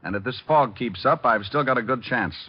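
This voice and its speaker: A man's voice: mid-range voice, fairly quick, breathy edge, natural voice. A man playing a cool, cocky hard-boiled private detective in 1940s radio style, wry and clipped as he talks and narrates. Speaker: lead actor and narrator. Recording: muffled old radio broadcast with music.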